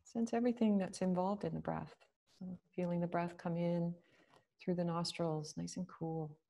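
A woman leading a guided meditation, speaking slowly and gently with long pauses. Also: lowish voice, steady tone, creaky, rough recording.

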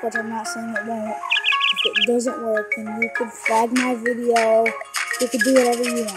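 A young woman chatters through a mobile game glitch, apologetic and full of ums. Her voice sits a little high, and loud game music plays under it from a phone recording. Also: rough recording. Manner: chatty, apologetic, rambling with many fillers. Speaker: gaming YouTuber. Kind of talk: casual screen-recorded game tutorial. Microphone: phone microphone.

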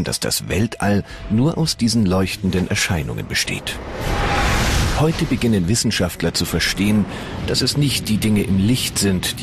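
A man giving scripted documentary narration in a measured, solemn way. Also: on the low side. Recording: music bed under the voice.

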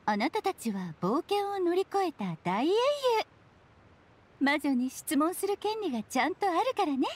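A woman playing a playful, mysterious, self-assured witch in an anime video game, with a teasing, unhurried delivery. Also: high-pitched, very animated. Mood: serene explaining.

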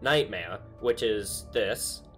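A man's voice: on the low side, slow, fairly bright tone, soft background music. A young man chatting casually from his bedroom, thinking aloud with lots of fillers. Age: young adult. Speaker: YouTuber analyzing game dialogue. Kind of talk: casual commentary. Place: small bedroom with string lights.

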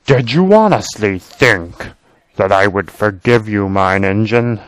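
Man playing a vengeful engine villain, low voice, cold scornful manner, storybook, music underneath. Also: expressive, unhurried, dry recording. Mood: menacing. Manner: cold, threatening. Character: menacing, vengeful older male train engine, cold and scornful.

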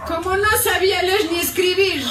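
High, fiery Andean activist woman. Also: fairly even intonation, moderate pace. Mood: earnest, urgent. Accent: Ecuadorian Andean. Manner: emphatic, accusatory. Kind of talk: radio drama acting. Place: radio drama played back at a live stage show. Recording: echoey playback in a hall from far off, faint music.

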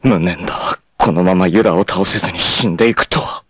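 A man voicing a proud, grim, long-haired male martial artist in a 1980s anime, speaking intensely and often bursting into shouts. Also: low voice, a little fast, husky. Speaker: voice actor.

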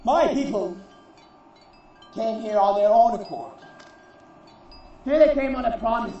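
A man performing a theatrical monologue as a proud, defiant warrior, solemn and declamatory, in deliberate phrases. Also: extremely high pitch, animated, mellow. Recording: distant, very echoey, muffled recording.

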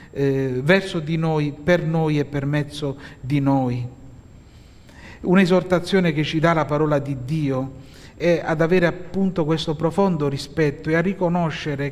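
A middle-aged pastor from Italy preaches from the pulpit of a church hall in a slow, deliberate way, pausing often and filling gaps with soft hesitations. His voice sits fairly high for a man, and his words ring with a long reverb through the hall. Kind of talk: sermon.

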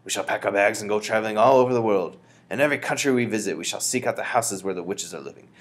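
A man's voice: lowish voice, fast talker, natural voice. A man voicing a feisty, bold old grandmother in a storybook, emphatic and commanding. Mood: grandly determined.

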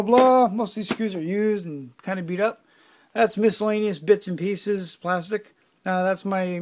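A man's voice: sits high, slight background noise. A man in his forties giving a rambling, offhand tour of his cluttered home workshop, with lots of fillers and the odd swear word, filmed on a handheld phone. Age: 40s. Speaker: hobbyist vlogger. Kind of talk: casual walkthrough of his workshop. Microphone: handheld phone camera.

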